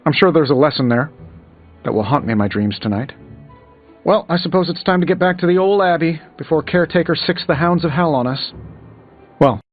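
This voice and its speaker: An actor playing a cocky superhero inventor in a video game, sarcastic and quippy. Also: male voice, a little high, brisk, natural voice. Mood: wry. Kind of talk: video game character dialogue. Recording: slightly muffled, music behind.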